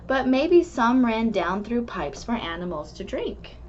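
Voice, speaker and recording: A woman reading a rhyming children's book aloud in an expressive, measured storytelling manner. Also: a little high. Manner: animated, measured storytelling delivery. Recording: dull lo-fi recording with background noise.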